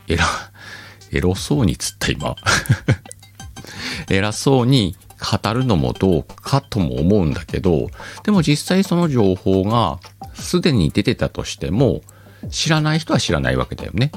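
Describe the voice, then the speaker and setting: A very deep-voiced man with a lilt hosts his own radio-style show. His pitch swings widely as he muses aloud, teasing himself. Soft music plays faintly behind him. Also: big pitch swings, unhurried. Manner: rambling, self-mocking, chuckles at himself. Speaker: podcast host. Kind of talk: casual solo radio-style talk.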